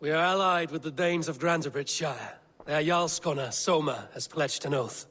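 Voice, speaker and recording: An actor playing a stern, honourable Viking raider in a video game, speaking in a measured, solemn way. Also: male voice, on the high side, a bit bright, husky. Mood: steady, approving. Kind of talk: video game cutscene acting.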